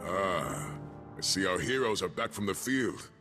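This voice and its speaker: Game officer: older man, low voice, wry and appreciative, music clearly behind. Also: animated, unhurried. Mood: grateful. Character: seasoned older male military officer, grateful and wry. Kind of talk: video game cutscene dialogue.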